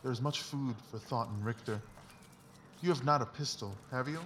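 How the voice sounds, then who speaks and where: A man's voice: mid-range voice, moderate pace, fairly bright tone, close to an everyday voice. Guy playing clever detective in an audiobook, sure of himself, lays things out carefully, bit far off with some noise behind. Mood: musing, philosophical. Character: brilliant analytical male detective, confident and philosophical. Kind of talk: dramatized audiobook dialogue.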